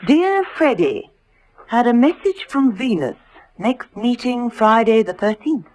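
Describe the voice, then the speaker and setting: An actress playing a poised, sharp-witted British lady investigator in a radio detective drama, arch and inquisitive. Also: on the high side, very animated, measured pace. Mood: intrigued. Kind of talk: 1960s-style detective radio drama acting.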